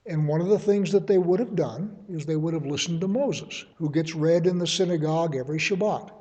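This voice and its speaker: A man teaching a verse-by-verse Bible study, reasoning aloud in a measured way and offering his readings as suggestions. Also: on the high side, dark tone, husky, long reverb tail, dull audio.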